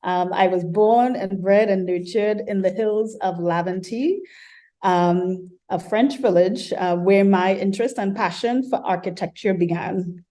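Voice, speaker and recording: A woman from Trinidad and Tobago, an architecture professor giving a measured welcome talk at an online colloquium, with frequent ums and uhs, on a computer mic. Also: medium pitch, mellow.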